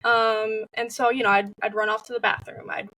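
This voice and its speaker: A woman's voice: a little high, little pitch movement, moderate pace, pushed and bright, no echo, far from the mic, poor audio. A young woman speaking as an interview guest, candid and open with frequent fillers. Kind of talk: personal interview talk.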